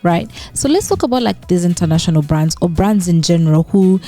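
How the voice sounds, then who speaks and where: Woman vlogging in a mellow voice. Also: lowish voice, animated, brisk, soft background music. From Western Uganda. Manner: conversational, frequent tag questions and fillers. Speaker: YouTuber host. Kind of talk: casual commentary vlog.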